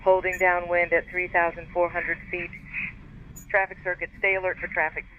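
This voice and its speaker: A man's voice: sits high, little pitch movement, normal speed. A man working as an air traffic controller, giving clipped instructions over the radio. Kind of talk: radio phraseology. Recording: tinny radio transmission with faint noise.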